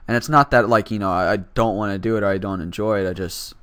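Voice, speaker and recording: A teenage boy hosting casual gameplay commentary, raspy from just waking up, rambling and self-deprecating with lots of fillers. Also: fairly low pitch, steady tone, fast talker, a bit bright, dry recording, clean studio sound. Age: teens. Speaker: gaming channel host.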